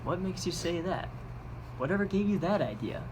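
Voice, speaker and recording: A man's voice: high-pitched, lively intonation, moderate pace, darkish tone, a little hiss or hum, poor audio. A man playing a level-headed suburban neighbor who reasons things out, in an amateur read-aloud of a television script. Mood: questioning.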